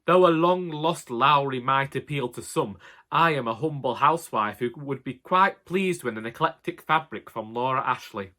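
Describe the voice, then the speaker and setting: A man's voice: medium pitch, lively intonation, moderate pace, bright, forceful tone. A man voicing a snobbish older housewife from the North of England in a comic story, pompous, over-proper and scolding. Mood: haughty, wounded.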